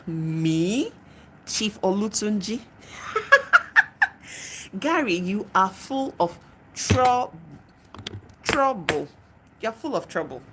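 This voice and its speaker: A woman's voice: fairly low pitch, a bit bright, a little hiss or hum. A young adult woman with a Nigerian accent, chatting casually on a live stream as she reads out and answers viewer comments, laughing often.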